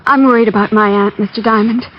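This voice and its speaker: A woman's voice: mid-range voice, fairly even intonation, fast talker, a little hiss or hum, natural voice. An actress playing a wealthy, proper young woman, earnest and a little prim. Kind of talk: 1940s radio acting. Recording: muffled old radio broadcast with music.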